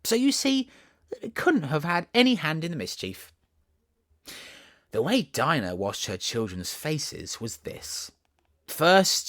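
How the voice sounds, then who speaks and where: A man with a Southern accent reading a storybook as an audiobook narrator, in an expressive, theatrical way. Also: mid-range voice, dry recording, studio quality.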